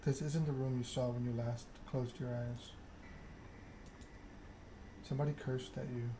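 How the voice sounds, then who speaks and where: A man narrating a story game aloud in ASMR style, reading in a measured way with occasional stumbles. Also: mid-range voice, level tone, background noise.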